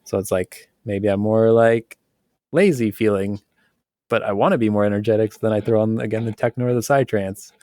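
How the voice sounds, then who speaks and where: A man co-hosting a casual podcast conversation, talking in a relaxed, rambling way with many fillers and frequent laughs. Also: on the low side, a little fast, no room sound, studio quality. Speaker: podcast co-host.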